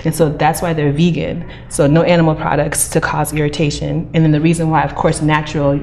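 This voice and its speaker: A low-voiced woman with an American accent tells her story at a rapid clip. She speaks earnestly as a talk show guest, with a faint hiss underneath. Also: level tone, a little mellow. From Nigeria. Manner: fast, earnest storytelling with laughs. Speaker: guest, chemist and founder.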